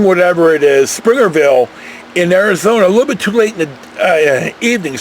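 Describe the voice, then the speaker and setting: An older American man telling travel stories casually, in a folksy, meandering way with animated emphasis. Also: sits high, a little hiss or hum. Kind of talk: casual rambling storytelling.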